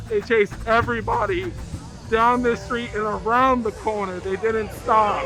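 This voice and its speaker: A hoarse man speaks in a fairly high voice, earnestly recounting events. He is being interviewed outdoors on a city street. Music plays softly under the clip. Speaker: protester. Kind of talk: interview testimony.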